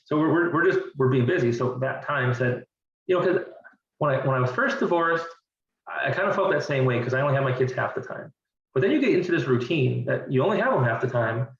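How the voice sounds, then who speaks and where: A man's voice: medium pitch, animated, quick pace. A man in his forties, a divorced father talking earnestly as an interview guest and often repeating words as he thinks. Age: 40s. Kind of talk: candid conversational interview. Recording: distant, roomy and dull-sounding.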